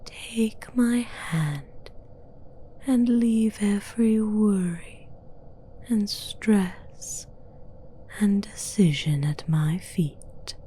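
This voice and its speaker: A seductive demoness played by a woman whispers in a soft, dark-toned voice, drawing out every phrase very slowly. She is commanding yet coaxing, breathy and formal, in a fantasy ASMR roleplay. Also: mid-range voice.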